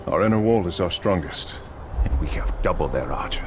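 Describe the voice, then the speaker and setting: An actor playing a stern, resolute commander in a fantasy game, authoritative and measured. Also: male voice, deep voice, lively intonation, background noise. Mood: firm. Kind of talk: cinematic fantasy game acting. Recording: loud ambience behind the voice.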